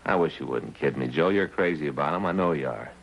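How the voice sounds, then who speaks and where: An actor playing an earnest, chatty American police detective sidekick in 1950s television drama style. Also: male voice, extremely low pitch, fast, vocal fry, dull audio. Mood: earnest, eager. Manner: folksy, earnest, conversational. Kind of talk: 1950s police drama acting, light comic relief. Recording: 1950s TV soundtrack with faint hum.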